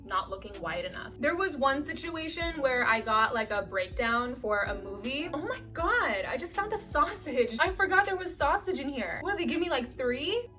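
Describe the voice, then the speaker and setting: A young woman, an actress, telling stories in a chatty, animated way with many "like"s. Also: high-pitched, moderate pace, few pauses, far from the mic, music in the background. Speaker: actress and vlogger. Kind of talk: casual storytime chat.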